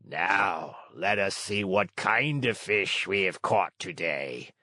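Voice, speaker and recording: A man's voice: low-pitched, lively intonation, takes it slowly, pushed and bright, dry recording, clean studio sound, heavily acted. A man voicing an ugly, greedy green fisherman monster in a children's storybook, savoring his words with pompous courtesy. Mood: gloating, savoring. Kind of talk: children's storybook read-aloud.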